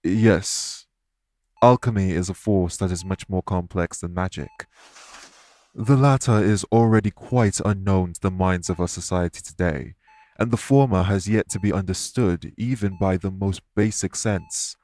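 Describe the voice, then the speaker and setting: Man acting solemn, low-voiced guilt-ridden fae. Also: a little mellow, no echo. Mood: grave, explanatory. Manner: measured, formal, earnest, deliberate phrasing. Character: solemn, guilt-ridden male fae explaining magic to a patient. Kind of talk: audio roleplay acting, addressed to listener.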